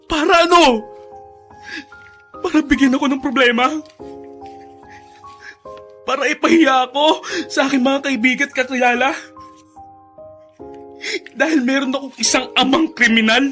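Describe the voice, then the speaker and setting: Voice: high voice, fairly even intonation, normal speed, long pauses, pushed and bright. Resentful young adult son, emphatic and emotional Filipino radio drama delivery over music. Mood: furious, crying. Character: anguished, resentful young adult son rejecting his convict father. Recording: music under the voice.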